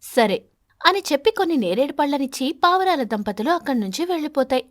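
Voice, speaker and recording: A woman storyteller reading scripted narration for an animated children's fable. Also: high voice, fast talker, no room sound, clean studio sound.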